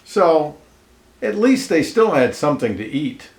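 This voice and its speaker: Guy reading a kids book out loud, takes his time, really up and down voice, asks little questions, bit echoey. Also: fairly high pitch. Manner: expressive, pausing to point out pictures and ask questions. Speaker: storybook reader. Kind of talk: read-aloud of a children's picture book with asides to listeners. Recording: roomy, echoey indoor sound.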